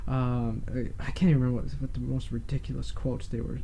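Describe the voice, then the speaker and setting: A man in a casual political discussion as podcast co-host, wordy and often halting as he searches for words. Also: mid-range voice, little pitch movement, gravelly, mellow, a little hiss or hum.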